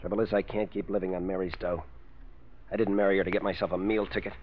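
An actor playing a proud, uneasy out-of-work husband in a 1940s radio drama, plainspoken and brooding. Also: male voice, low-pitched, little pitch movement, fast talker, a little hiss or hum, natural voice. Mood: proud, glum. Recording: dull old radio recording.

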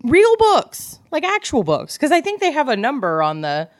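A woman's voice: sits high, wildly swooping pitch, normal speed, no room sound, clean studio sound. An American woman co-hosting a podcast, chatting casually about books in a blunt, joking, irreverent way. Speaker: podcast co-host. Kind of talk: casual book club chat.

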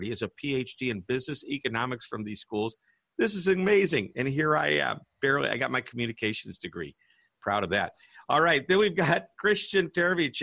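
A man's voice: medium pitch, fairly bright tone, no room sound. A man hosting a business radio show, chatting in an upbeat, enthusiastic way as he introduces guests. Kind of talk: casual interview hosting.

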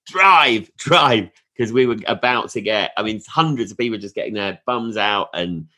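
A man from England hosting a podcast in a friendly, upbeat way. He fires off quick questions and gives cheerful sign-offs. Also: lowish voice, animated, sharp tone. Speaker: podcast host. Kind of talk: casual interview and podcast outro.

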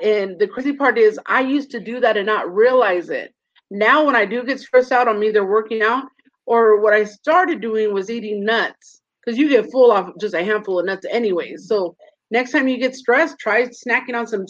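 A woman's voice: mid-range voice, normal speed. Earnest woman in her forties, muffled. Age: 40s. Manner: earnest, shares personal stories. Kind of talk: casual live stream chat.

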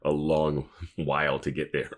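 A man's voice: very deep voice, completely flat delivery, measured pace. A man co-hosting a podcast in casual, joking chat, sounding amused and mock-incredulous. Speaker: podcast co-host.

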